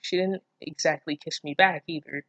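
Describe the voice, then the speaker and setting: A casual online reader with a mid-range voice, recorded close and dry. The reading slips often into expressive, incredulous commentary, with plenty of swearing. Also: mid-range voice, moderate pace, no echo, muffled recording. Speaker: online reader reacting to fan fiction. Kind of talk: casual read-aloud with running commentary.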